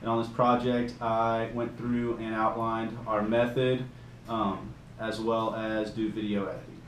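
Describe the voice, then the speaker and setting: A man's voice: fairly low pitch, flat delivery, a little slow, echoey room, a little hiss or hum, poor audio. A young man from Louisiana, an engineering student explaining his team's method step by step from notes. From Covington, Louisiana. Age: young adult. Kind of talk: scripted class presentation.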